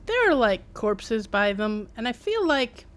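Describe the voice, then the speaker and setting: A woman's voice: on the high side, very animated, a little slow. Wry woman streaming a game. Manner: wry, rambling, chatty. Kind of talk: casual live gameplay commentary.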